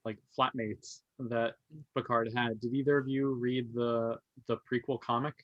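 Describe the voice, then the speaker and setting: A man's voice: medium pitch, level tone, a little slow, no room sound. A man chatting casually as a podcast panelist, on a slightly dull recording. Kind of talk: casual chat.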